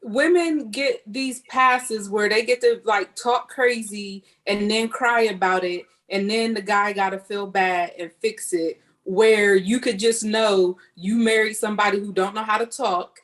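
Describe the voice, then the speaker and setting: A woman's voice: medium pitch, steady tone, measured pace, hardly any pauses, a bit bright. A woman giving her opinion in a candid, informal way in a casual chat. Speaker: podcast panelist.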